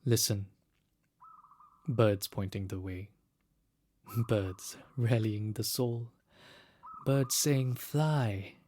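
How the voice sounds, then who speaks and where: An actor playing a reflective guide to a lost city in an audio drama, speaking in measured, meditative phrases with pauses. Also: male voice, fairly low pitch, fairly even intonation. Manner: measured, pausing, short hushed phrases.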